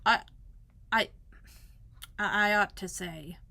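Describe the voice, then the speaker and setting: Lady doing a slow dim lovable boy bear from a kids book, growly, proud, takes his time, dry sound. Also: mid-range voice, gravelly, bright, forceful tone, dry recording, heavily acted. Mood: sheepish. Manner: slow, hesitant, deliberate. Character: humble, proud, slow-witted male toy bear.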